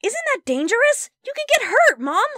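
A woman voicing an excitable, pleading young boy in an animated character audition. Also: very high voice, normal speed, dry recording, studio quality, voice pushed up, full character voice. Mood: indignant, worried. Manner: eager, exclamatory, pleading.

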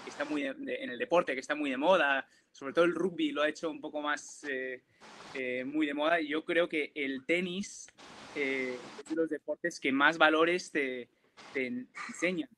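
A man's voice: fairly high pitch, fairly even intonation, moderate pace, a bit bright, a little hiss or hum. A young man speaking as a guest player on a video call panel, with many restarts and fillers.

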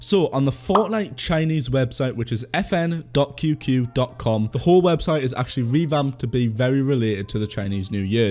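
A man's voice: mid-range voice, darkish tone. A man with a cold hosting a daily gaming news roundup, upbeat and chatty, calling his viewers guys and boys. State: sick with a cold.

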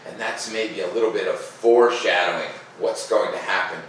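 Male read-aloud host, informal and expressive, slightly low voice, recorded at a distance in a boomy, reverberant living room. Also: moderate pace. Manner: informal, expressive when reading. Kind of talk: picture-book read-aloud with casual asides. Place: living room by a fireplace, indoors. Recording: echoey room, distant mic, faint noise.

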